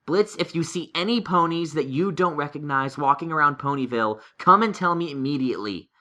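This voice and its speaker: A studious young unicorn stallion from an audio story, played by a man, earnest and analytical. The voice is bright and sharp, with very animated, emphatic swings in pitch. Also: a little fast. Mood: wary, thoughtful. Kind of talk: character acting in a fan-fiction audio story.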